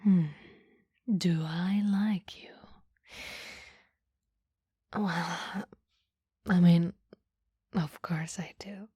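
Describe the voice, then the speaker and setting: A woman playing a coy, flustered female boss in a flirty ASMR roleplay, speaking in soft, breathy whispers with a teasing, hesitant manner. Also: on the low side, steady tone, extremely slow, long pauses, no echo, clean studio sound, exaggerated voice. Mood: flirty, admiring.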